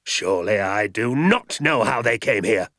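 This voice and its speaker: A man's voice: on the low side, fairly quick, creaky, breathy edge, no room sound, studio quality, exaggerated voice. A man doing a furious, shrieking rich landowner. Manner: shouting, ranting, insulting.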